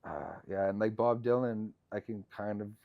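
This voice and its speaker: A man with a low voice, a musician guest in a casual interview, speaking haltingly with many fillers and trailing thoughts. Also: fairly even intonation, no echo, narrow, tinny audio.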